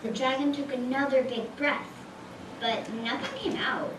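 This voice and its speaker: A woman with a high voice narrates a storybook tale on stage. She sounds far from the mic in an echoey room with clear noise. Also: very animated, measured pace. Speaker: puppet show narrator. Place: live stage in a roomy hall, far mic. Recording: distant, echoey, noisy live recording.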